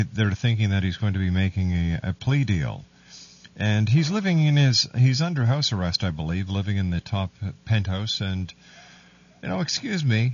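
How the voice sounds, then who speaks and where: A man hosting a radio interview, talking haltingly with many fillers, on a dull, faintly hissy recording. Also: fairly low pitch. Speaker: radio host.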